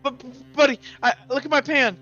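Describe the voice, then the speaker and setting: A man voicing an excitable, breathless young man who stammers eagerly in a tabletop game. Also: extremely high pitch, brisk, muffled recording, faint music behind, voice pushed up. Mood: excited.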